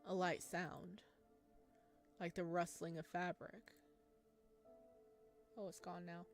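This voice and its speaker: Woman reading a game aloud over music. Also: fairly low pitch. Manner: giggly, informal asides between read narration. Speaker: gamer playing and reading a visual novel aloud. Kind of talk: game playthrough, reading aloud with chatty reactions. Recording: music behind the voice.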